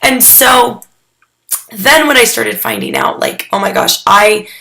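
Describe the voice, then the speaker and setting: A hoarse young woman getting over a cold, talking casually through a photography critique, rambling with lots of "um"s and restarts. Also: fairly high pitch, huge pitch swings, measured pace, sharp tone. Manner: chatty and rambling, with many "um"s and restarts. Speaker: photography mentor critiquing student work. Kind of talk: casual recorded critique addressed to students.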